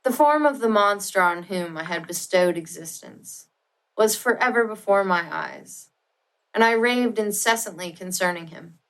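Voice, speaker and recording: Female narrator, medium pitch, for classic literature; dramatic, measured, expressive read. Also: poor audio. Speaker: audiobook narrator.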